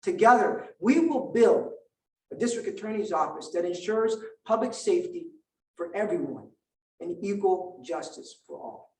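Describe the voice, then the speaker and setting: A middle-aged man from Vietnam, a native Vietnamese speaker, delivers a stump speech in a notably high voice. He punches out rhetorical lists with deliberate pauses. He is picked up from some distance in a reverberant hall. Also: expressive, a little mellow, a little hiss or hum. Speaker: political candidate at a forum. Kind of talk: campaign speech and forum answer. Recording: roomy hall, distant mic.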